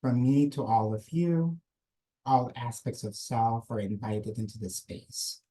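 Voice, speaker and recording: A man whose first language is Filipino speaks in a mellow, relaxed tone. He leads a webinar audience through a calm grounding exercise at a measured, invitational pace. He is heard through a computer mic on a video call. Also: medium pitch, low-quality recording. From Philippines. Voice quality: slow, measured, gentle invitational delivery. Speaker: guest speaker, nurse practitioner.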